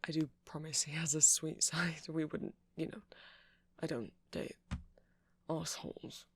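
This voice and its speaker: A man playing a nerdy, gentle vampire shop clerk in an ASMR roleplay, rambling in a hesitant, softly polite, apologetic way. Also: on the high side, fairly even intonation, unhurried, vocal fry, slightly rough, no room sound, exaggerated voice. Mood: apologetic, fond.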